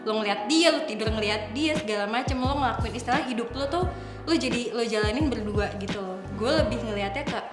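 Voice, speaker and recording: A woman's voice: fairly high pitch, fairly quick. A woman in her twenties with an Indonesian accent, talking casually in a group discussion and mixing in English phrases. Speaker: panelist. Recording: roomy sound with music underneath. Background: background music.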